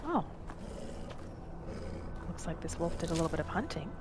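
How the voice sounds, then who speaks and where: A woman gently narrating a relaxing game roleplay in a soft, soothing manner. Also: on the low side, big pitch swings, moderate pace, noisy background. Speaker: gameplay narrator. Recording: voice over loud game music.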